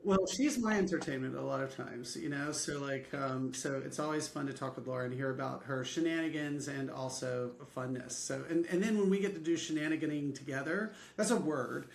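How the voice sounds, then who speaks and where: A man's voice: medium pitch, brisk, gravelly, few pauses, roomy sound. A male podcast co-host bantering casually, rambling and joking with lots of fillers.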